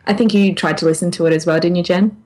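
A woman's voice: on the low side, fairly even intonation, fast talker, darkish tone. A woman co-hosting a podcast in casual conversation, candid, informal and a little self-deprecating. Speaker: podcast co-host.

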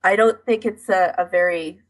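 A woman podcast co-host with a husky, slightly rough voice. She talks in a casual, informal way with lots of "like", as in a chat between hobbyists. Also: mid-range voice, fairly even intonation, normal speed. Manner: informal, frequent "like" as filler.

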